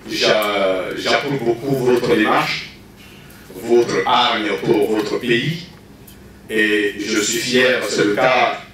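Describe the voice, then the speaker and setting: Slow, earnest man in an echoey hall. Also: medium pitch, a bit bright, distant mic, a little hiss or hum. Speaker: audience member addressing the prime minister. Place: large meeting room.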